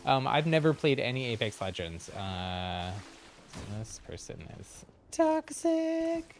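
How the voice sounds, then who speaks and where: A man's voice: mid-range voice, huge pitch swings, slow, slight background noise. A man doing casual live gameplay commentary as a streamer, chatty and hesitant as he thinks aloud. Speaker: game streamer.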